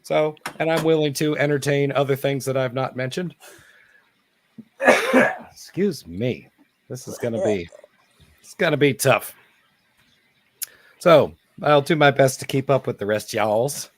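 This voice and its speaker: A man hosting a live online chat, hoarse from losing his voice and talking in a rambling, halting way. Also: mid-range voice, background noise. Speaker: host. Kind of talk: casual online hangout chat. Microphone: computer mic on a live video call.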